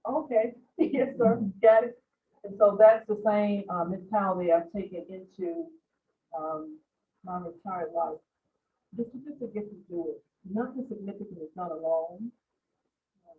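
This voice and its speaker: A woman speaker gives an earnest, conversational talk about leadership. Her voice comes from far off and sounds thin and phone-like, with faint room noise around her. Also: fairly low pitch. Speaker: guest speaker, leadership coach. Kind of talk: public talk. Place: museum lecture space.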